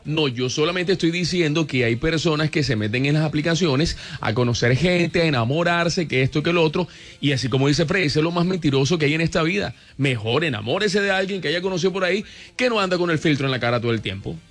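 A man, a radio host in casual, animated banter who exclaims and teases. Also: fairly high pitch, quick pace. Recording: music bed behind.

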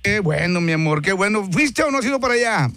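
A man's voice: high voice, expressive, fast talker, sharp tone, dry recording. A man with a northern Mexican accent hosting a hyped radio show, exclamatory and familiar, often repeating his phrases. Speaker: radio DJ host. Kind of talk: hyped local radio chat, greetings and plugs. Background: music.

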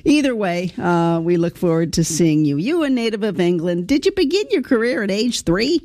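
A woman's voice: mid-range voice, very animated, normal speed, darkish tone. A woman hosting a radio interview, folksy and enthusiastic, a bit rambling. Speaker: radio host.

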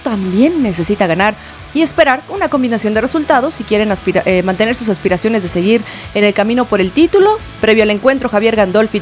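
A woman with a Mexico City accent co-hosting a morning sports radio show, bantering playfully and reading the news. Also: mid-range voice. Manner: playful, teasing, quick to laugh.

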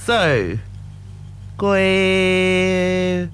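A woman in casual chat, talking in a lively way and often checking in with tag questions. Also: fairly low pitch, extremely slow, clear, resonant tone. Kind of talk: casual chat mixing English and a Pacific language.

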